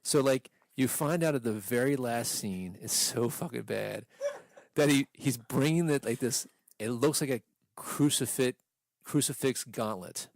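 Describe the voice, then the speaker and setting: A man chatting casually as a podcast host, ranting through a story in a profane, exasperated, animated way. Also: mid-range voice, a little slow, gravelly, no room sound. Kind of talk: casual movie rant chat.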